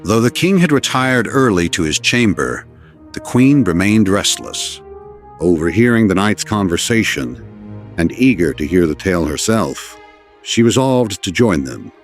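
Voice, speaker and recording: Very deep, expressive male storyteller. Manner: measured, formal storytelling. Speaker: narrator. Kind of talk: scripted storybook narration. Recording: voice over orchestral music.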